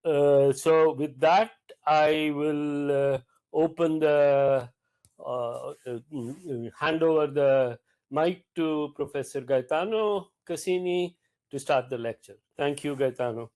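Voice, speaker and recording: A man's voice: fairly high pitch, slow, a little mellow. Hesitant man introducing a guest. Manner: hesitant, many fillers. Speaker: host introducing a guest speaker. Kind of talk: formal introduction of a speaker.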